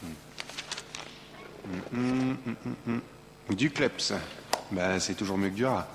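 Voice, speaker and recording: A man's voice: on the low side, slow. A man playing a blunt, bossy old hand briefing a newcomer in a comic audio drama, speaking curtly and matter-of-factly. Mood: businesslike. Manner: curt, matter-of-fact, bossy. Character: gruff, blunt veteran male staffer briefing a newcomer. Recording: echoey hall playback, faint noise.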